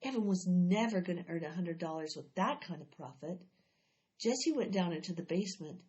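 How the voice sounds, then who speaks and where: A woman's voice: on the low side, animated, brisk, darkish tone, dull audio. A woman reading a children's chapter book aloud, lifting her voice for the children's lines. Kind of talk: read-aloud storytelling.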